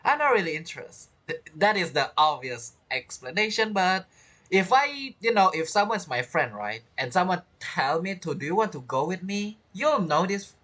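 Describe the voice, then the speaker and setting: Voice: medium pitch, very animated, normal speed, a bit bright. A game streamer giving casual, rambling and playful commentary while playing. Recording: faint game music behind the voice.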